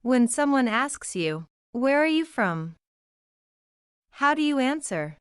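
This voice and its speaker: Slow, lively high-pitched woman teacher. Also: smooth, dry recording, clean studio sound. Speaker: English teacher. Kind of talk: scripted beginner English lesson narration.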